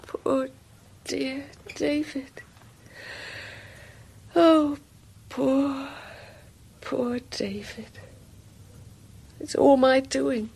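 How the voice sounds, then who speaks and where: Frightened tender wife, radio drama, husky breath, drawn-out fragmented delivery. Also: female voice, high-pitched, darkish tone, full character voice. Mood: tearful pity. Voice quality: breathy, slipping into whispers. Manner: halting, fragmented, lingering delivery. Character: anguished, haunted young wife, tender yet frightened.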